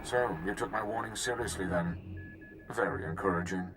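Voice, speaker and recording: A man's voice: deep voice, echoey room, background noise, lightly acted. A man voicing a blunt, free-willed medical droid in a video game, speaking formally and plainly. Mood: composed. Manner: formal, plain-spoken, measured. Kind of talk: video game cutscene acting.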